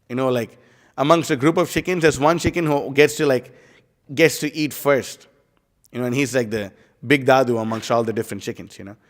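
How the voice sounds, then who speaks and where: A man giving a casual scripture class, rambling with many fillers as he explains. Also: mid-range voice. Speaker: class speaker. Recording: live stream in a reverberant hall.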